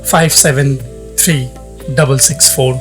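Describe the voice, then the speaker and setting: A man's voice: mid-range voice, steady tone, normal speed. A man reading a scripted announcement for an audio story programme. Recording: soft guitar music behind.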